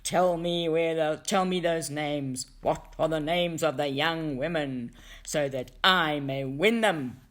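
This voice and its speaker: A woman voicing a menacing male stranger from a storybook, forceful and commanding as he makes demands. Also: sits low, slow. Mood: menacing, demanding.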